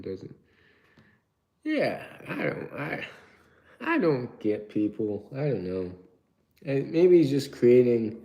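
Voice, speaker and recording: A man's voice: mid-range voice, dark tone. A young man from Turkey chatting casually on a live stream, reading out viewer questions and rambling through his answers.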